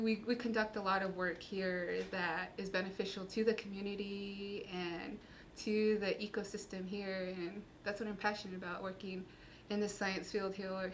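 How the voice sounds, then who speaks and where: A woman from Utah, a student research intern, speaking in an interview in a measured, earnest way with some pauses. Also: mid-range voice, slight background noise, poor audio. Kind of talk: interview about her research.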